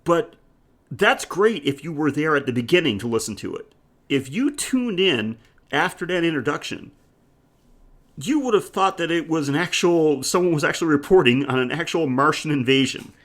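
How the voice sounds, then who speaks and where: A man's voice: on the high side, lively intonation, moderate pace, fairly bright tone. A man co-hosting a podcast, chatting casually about films in a jokey, bantering way. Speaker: podcast co-host. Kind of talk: casual film discussion chat.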